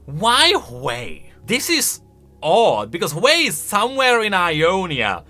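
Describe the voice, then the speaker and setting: A man with a bright, forceful and fairly high voice gives casual video game commentary. His delivery is chatty and emphatic, swinging widely in pitch as he tosses out rhetorical questions. Music plays softly underneath. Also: wildly swooping pitch, slow.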